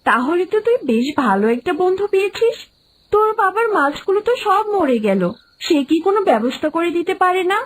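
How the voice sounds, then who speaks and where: A woman voicing an expressive, easily astonished village mother in a children's cartoon. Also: extremely high pitch, fairly quick, poor audio. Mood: surprised. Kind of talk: children's cartoon story dialogue.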